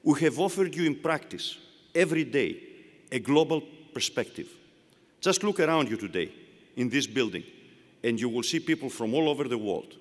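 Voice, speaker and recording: A man with a Greek accent giving a formal commencement speech, delivering measured phrases one at a time from a podium. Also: medium pitch, expressive. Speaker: university leader giving commencement address. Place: large hall. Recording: long reverb of a large hall.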